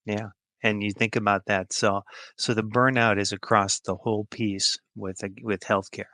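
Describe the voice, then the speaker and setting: A man's voice: on the low side, level tone, moderate pace, pushed and bright, dry recording. A middle-aged or older American man answering interview questions in an informal, plain-spoken and emphatic way. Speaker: podcast guest, healthcare executive.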